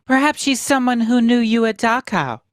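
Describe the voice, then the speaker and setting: Woman acting as doting hospitable mother, fussy, coaxing, lively swooping intonation, dry close sound, radio drama. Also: a little high, moderate pace, no echo, natural voice. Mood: sympathetic, coaxing. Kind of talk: cold-read sci-fi radio play.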